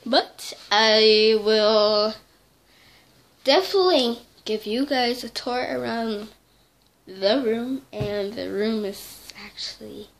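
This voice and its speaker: A woman's voice: fairly high pitch, creaky, a little hiss or hum. Chatty young girl filming at night. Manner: rambling, chatty, self-correcting. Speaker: vlogger. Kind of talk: casual chat to camera. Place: small bedroom at night, dim lighting. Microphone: device camera held close.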